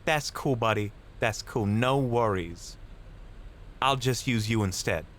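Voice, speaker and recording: A man's voice: medium pitch, slow, fairly bright tone. A man playing a young mugger in an audio drama, cocky, mock-polite and menacing. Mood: fake friendly. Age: young adult.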